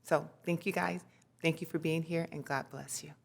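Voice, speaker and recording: A woman with a very low voice introduces herself at a city council meeting. She speaks in a heartfelt way. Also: steady tone, moderate pace, creaky. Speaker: city commission member. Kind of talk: public meeting remarks.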